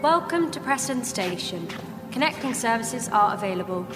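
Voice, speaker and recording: A woman's voice: a little high, expressive, moderate pace, over music, natural voice. A woman playing a formal railway station announcer in an audio drama, giving public address announcements. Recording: long station-hall reverb.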